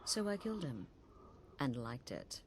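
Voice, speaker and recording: Cast: a cold female assassin chief, calm menacing drawl, very low voice, faint hiss behind. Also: measured pace, exaggerated voice. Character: cold, self-assured female assassin guild leader. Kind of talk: video game dialogue.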